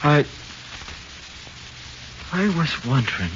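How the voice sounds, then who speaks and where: An actor playing a frightened, slangy condemned convict with an American accent in a 1940s radio horror play, halting and pleading. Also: male voice, a little high, exaggerated voice. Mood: fearful, pleading. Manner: slangy, halting, swinging from flat confession to anxious pleading. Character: frightened condemned convict awaiting execution, pleading, uneducated tough. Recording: muffled, hissy 1940s radio recording.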